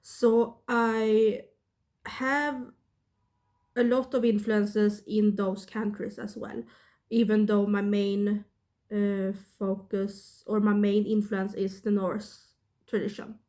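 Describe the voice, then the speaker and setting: A woman in her thirties with a Swedish accent, sick and talking casually to her camera in a halting way, with many pauses and fillers. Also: mid-range voice, level tone, warm tone. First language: not English. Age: 30s. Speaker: witchcraft vlogger.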